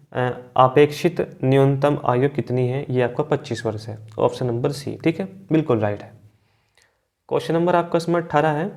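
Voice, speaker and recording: A man's voice: on the high side, darkish tone. A man teaching an exam quiz lesson, reading out questions and options, repeating answers and addressing his students directly.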